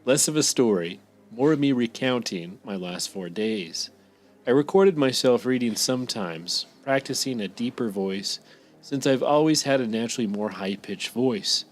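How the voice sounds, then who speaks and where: A man's voice: mid-range voice, expressive, moderate pace. A man co-hosting a podcast, reading a listener's scary story aloud.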